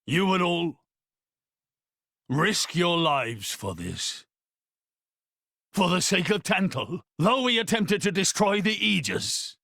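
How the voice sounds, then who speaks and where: An actor voicing a grave older king who speaks in a slow, measured way. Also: high-pitched, very animated, a bit bright, no room sound, clean studio sound, full character voice. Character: weary older male king, grave and dignified.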